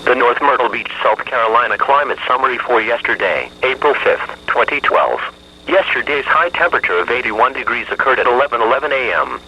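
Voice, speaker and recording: A synthetic man's voice reads a scripted weather bulletin over the radio. It is very bright and forceful, with a rough, husky edge. The delivery stays flat and evenly measured at a middling pitch. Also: low-quality recording.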